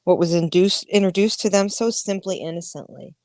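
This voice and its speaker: A woman's voice: on the low side, a little fast, a little mellow, no echo, clean studio sound. A woman in her thirties hosting a podcast, talking candidly and emphatically in a casual livestream monologue, with frequent swearing. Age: 30s. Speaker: podcast host.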